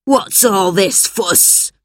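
A woman's voice: on the low side, measured pace, no echo, studio quality. A woman voicing a harsh, bullying middle-aged male factory owner who growls and talks down to children, in a storybook reading. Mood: gruff, dismissive. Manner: gruff and dismissive.

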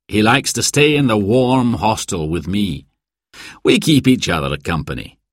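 A man's voice: on the low side, expressive, a little slow, no echo, clean studio sound. A man voicing a kindly older male hostel keeper who explains things patiently in a storybook.

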